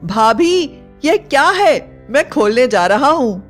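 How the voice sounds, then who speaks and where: A woman voicing a brash young man of twenty-one in a spooky storybook tale, loud and excitable. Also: high voice, slow, soft background music, pitched above the performer's own voice, full character voice. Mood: thrilled.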